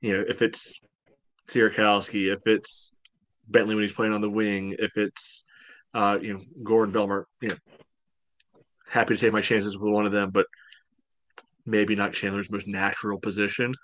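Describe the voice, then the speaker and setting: A man's voice: fairly low pitch, little pitch movement, normal speed, pauses often, fairly bright tone. A man in his thirties giving casual, loosely phrased sports analysis over a video call. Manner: loose, hedging delivery peppered with "you know". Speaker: podcast co-host. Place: room at home, indoors.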